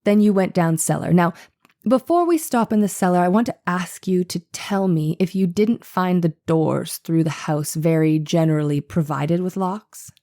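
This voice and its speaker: Probing courtroom attorney, female actor, formal and insistent, low relaxed voice, measured trial-transcript delivery. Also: natural voice. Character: probing, persistent male defense attorney cross-examining a witness. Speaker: actor reading a lawyer in a trial re-enactment. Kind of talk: dramatized trial transcript reading.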